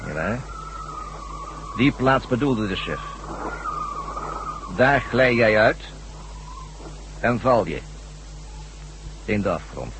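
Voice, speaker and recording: A man's voice: lowish voice, animated, slow, long pauses. An actor playing a resigned, matter-of-fact male henchman with a regretful manner in a science fiction radio drama. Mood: grim, regretful. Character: resigned male henchman escorting a doomed prisoner. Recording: noisy old radio drama recording.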